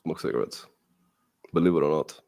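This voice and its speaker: A man's voice: a bass voice, brisk, vocal fry, a little mellow. A man in casual podcast chat, blunt and emphatic, swearing freely. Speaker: dating coach.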